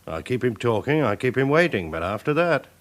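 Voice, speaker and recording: An actor playing a businesslike police officer passing on warnings in a radio crime drama, with clipped delivery. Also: male voice, mid-range voice, very animated, brisk, close to an everyday voice. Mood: cautious. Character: urgent male police colleague bringing warnings.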